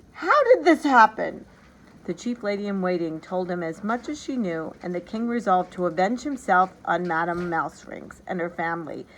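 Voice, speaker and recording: A woman narrating a storybook aloud in an expressive, dramatic and deliberate way. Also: medium pitch, low-quality recording. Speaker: teacher reading a storybook aloud.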